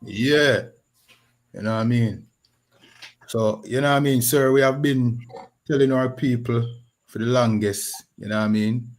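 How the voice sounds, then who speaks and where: A man's voice: mid-range voice, a little slow, pauses often. A man with a Jamaican accent hosting a podcast in a casual talk-show style, often saying "you know what I mean". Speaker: podcast host.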